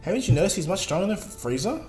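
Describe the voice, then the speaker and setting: A man's voice: sits high, big pitch swings, fast talker, a little mellow, pitched above the performer's own voice. A man voicing a cheerful, naive anime martial-arts hero with an innocent, questioning manner. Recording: echoey room, music behind.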